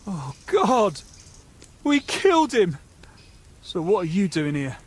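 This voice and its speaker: An actor playing a nervous, gullible young medieval bandit in a game, with a hesitant, stammering, excitable way of talking. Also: male voice, high voice, slow, slightly rough, heavily acted. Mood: agitated, panicked. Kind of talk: video game dialogue acting.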